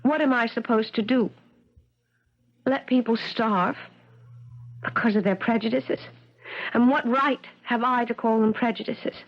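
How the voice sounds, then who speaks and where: An actress playing an earnest, self-sacrificing woman professor in a 1970s radio drama, speaking haltingly with dramatic phrasing. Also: fairly high pitch, steady tone. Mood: anguished, resolute. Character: earnest, self-sacrificing female professor, anguished and secretly lovelorn. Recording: dull vintage radio broadcast sound.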